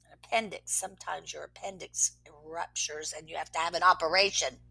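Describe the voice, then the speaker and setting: A woman reading a picture book aloud to children, expressive, with soft whispered asides between the verses. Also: deep voice, a little fast, creaky, breathy edge, low-quality recording. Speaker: children's story reader.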